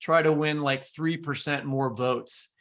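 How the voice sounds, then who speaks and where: A man's voice: on the high side, fairly even intonation, unhurried, fairly bright tone. A man co-hosting a podcast, speaking casually about politics in an emphatic, blunt way. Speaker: podcast co-host.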